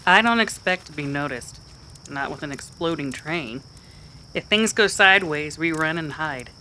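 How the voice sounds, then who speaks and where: A man voicing a fiery, stubborn frontier woman with a defiant, sharp manner in a Western radio drama. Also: fairly high pitch, expressive, normal speed, slight background noise, low-quality recording. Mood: determined. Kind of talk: old-time radio Western acting.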